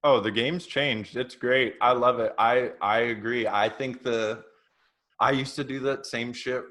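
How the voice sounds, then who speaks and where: Thirty-year-old dude from oregon, laid back, rambling chat, mid pitch. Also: male voice, unhurried. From Oregon, USA. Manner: relaxed, rambling with fillers. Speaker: podcast guest. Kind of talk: casual chat.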